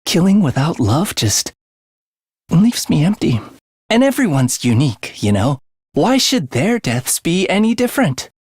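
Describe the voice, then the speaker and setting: A man's voice: a little high, very animated, measured pace, no room sound, studio quality, exaggerated voice. An actor playing a playful, morbid young male assassin in a video game, with breezy, teasing speech that turns theatrical. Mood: dreamy, bloodthirsty. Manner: breezy, teasing slang turning breathy and theatrical. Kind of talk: English video game voice acting.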